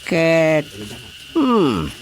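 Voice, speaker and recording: Pompous, prying male village chairman, Ilocano accent, swooping pitch, radio comedy, some background noise. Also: wildly swooping pitch, very slow, heavily acted. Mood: challenging.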